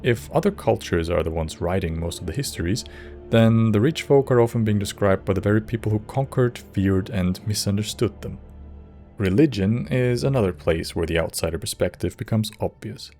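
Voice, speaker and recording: A man giving scripted narration for a game lore video in a measured, slightly wry way. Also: lowish voice, expressive, dark tone, faint music behind.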